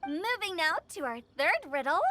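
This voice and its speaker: A woman voicing a young female quiz announcer in a video game, measured and orderly. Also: very high voice, big pitch swings, bright, forceful tone, slight background noise, full character voice. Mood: composed. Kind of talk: video game character acting.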